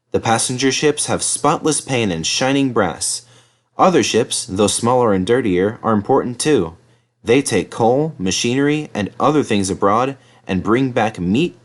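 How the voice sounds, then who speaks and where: A man narrating a children's storybook in a measured, dramatised storytelling manner. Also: medium pitch. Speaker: storybook reader.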